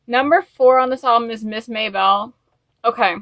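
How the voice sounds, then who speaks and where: Bright-voiced woman chatting casually. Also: a little high, normal speed, dry recording. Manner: rambling, gushing fan talk with frequent "like" and "you know". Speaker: YouTube host. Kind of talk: casual chat to camera, music album review.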